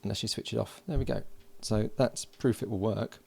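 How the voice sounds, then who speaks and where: Low, gravelly man muttering at a workbench. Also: fairly quick, soft background music. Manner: mutters along while working, relaxed and matter-of-fact. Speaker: electronics hobbyist. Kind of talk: casual build commentary. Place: home workbench, indoors.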